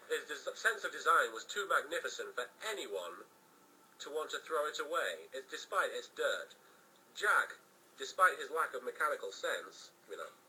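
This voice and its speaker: A man's voice: medium pitch, sharp tone, far from the mic, slight background noise, low-quality recording. A man giving a comedic dramatic reading of fan fiction, theatrical in delivery, with wry joking asides.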